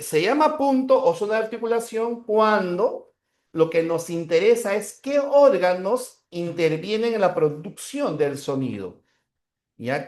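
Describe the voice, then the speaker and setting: A man's voice: fairly high pitch, a little mellow. A man with a Peruvian accent lecturing a class, repeating key terms and checking in with short tag questions. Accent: Peruvian Spanish. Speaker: teacher. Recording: roomy echo.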